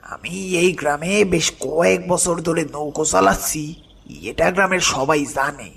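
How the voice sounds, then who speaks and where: A man playing an older village boatman, frightened and hesitant, slowly telling a dramatic horror story with a creaky voice. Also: fairly high pitch, fairly bright tone, full character voice. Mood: haunted, tense. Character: frightened village boatman uncle recounting his haunted past. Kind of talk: horror cartoon story narration. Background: music.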